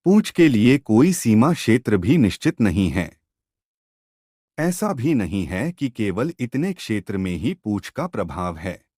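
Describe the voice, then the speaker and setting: A man's voice: lowish voice, a little mellow, dry recording, studio quality. A man telling a devotional mythological story as a storyteller.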